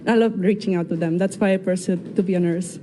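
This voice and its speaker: A young woman from Spain answering pageant interview questions, nervous and quick to apologise. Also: fairly low pitch, steady tone, warm tone, a little hiss or hum. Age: young adult. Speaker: beauty pageant contestant.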